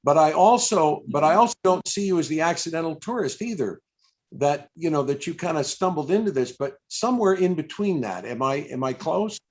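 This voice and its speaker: A man conducting a conversational interview over a video call, asking long, musing questions in a halting, rambling way as he thinks aloud. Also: a little high, lively intonation. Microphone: video call microphone.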